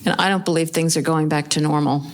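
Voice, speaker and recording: An actress playing a frazzled, earnest and stubborn wife in a live radio play, with expressive, exasperated delivery. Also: female voice, deep voice, quick pace, lightly acted. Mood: pointed, firm.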